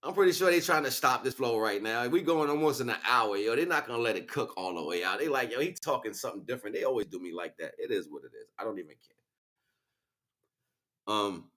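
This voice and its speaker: A man's voice: medium pitch, expressive, fast talker, fairly bright tone. A man livestreaming a casual, rambling spiritual monologue in street slang, often checking in with rhetorical questions. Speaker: livestream host.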